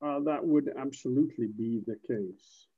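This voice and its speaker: A man with a British English accent, a native speaker giving example sentences in a measured, slightly formal way. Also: mid-range voice, breathy edge. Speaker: podcast guest, native speaker. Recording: muffled, slightly lo-fi sound.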